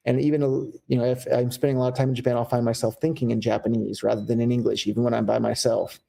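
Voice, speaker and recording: A man's voice: medium pitch, vocal fry, warm tone. A man with an American accent, talking candidly as an interview guest, rambling a little with fillers and restarts. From United States. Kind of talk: casual interview talk.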